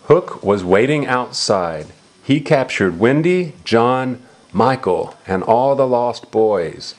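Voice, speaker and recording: A man's voice: medium pitch, big pitch swings, takes it slowly. A man reading a picture book aloud to a young child, asking simple questions and exclaiming as he explains.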